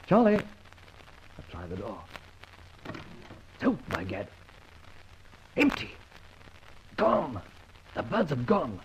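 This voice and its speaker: Dashing gentleman adventurer, hugely animated. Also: mid-range voice, a little hiss or hum, exaggerated voice. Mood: hushed, alarmed. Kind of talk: old-time radio detective drama acting. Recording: dull, lo-fi old radio recording, faint music.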